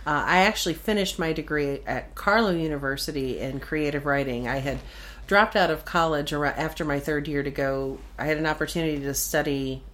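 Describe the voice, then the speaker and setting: Woman in her 40s, from venezuela, guesting on a podcast, low voice, easygoing good-humored chat. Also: fairly quick, few pauses, a bit bright, a little hiss or hum. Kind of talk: casual conversation.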